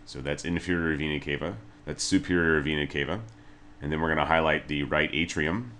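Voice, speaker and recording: A man's voice: rumbling low, fairly quick, sharp tone, a little hiss or hum. A man giving a casual tutorial as an instructor, talking informally and a little hesitantly, step by step. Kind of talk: casual video tutorial narration.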